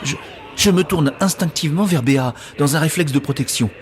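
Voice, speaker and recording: A man playing an introspective, gently wry narrator in a science-fiction audio drama, speaking in a literary, observational way. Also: fairly high pitch, brisk, a little hiss or hum, natural voice. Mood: alarmed, panicked.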